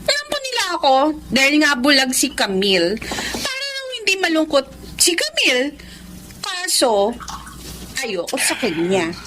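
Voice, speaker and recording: High-pitched, pouty young temptress. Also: female voice, wildly swooping pitch, a little slow, noisy background, heavily acted. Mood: scheming, pouty. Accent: Filipino. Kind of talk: Filipino radio drama acting.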